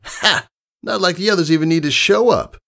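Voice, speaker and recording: A man's voice: sits high, moderate pace, vocal fry, fairly bright tone, voice pushed up. A man voicing a brash, cocky young female pegasus pony athlete with a swaggering, casual, slangy delivery.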